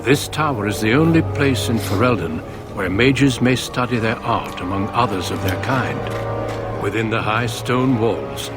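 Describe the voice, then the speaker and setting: An actor narrating a fantasy video game intro as a grave storyteller, measured, formal and ominous. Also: male voice, fairly low pitch, lightly acted. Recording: loud music under narration.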